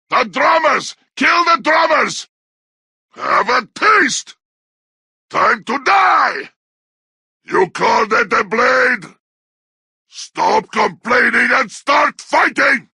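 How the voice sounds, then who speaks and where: A man playing a brutish, bloodthirsty orc warrior in a video game, snarling crude taunts in a harsh, raspy growl. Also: extremely high pitch, pushed and bright, dry recording, studio quality, heavily acted. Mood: frantic, commanding. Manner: snarling battle barks in crude, broken grammar. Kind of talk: video game combat barks.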